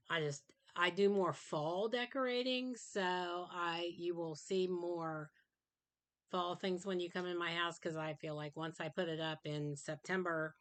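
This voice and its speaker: A middle-aged woman chatting casually in her home craft room, rambling with frequent ums and uhs, her voice dry after a sinus infection. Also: on the low side. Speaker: craft vlogger. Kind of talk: casual show-and-tell chat.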